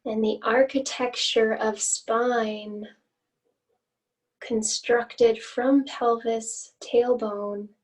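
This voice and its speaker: A woman leading a guided meditation as an instructor, with gentle, inviting phrasing and long pauses, recorded at some distance from the mic. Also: fairly high pitch, fairly even intonation.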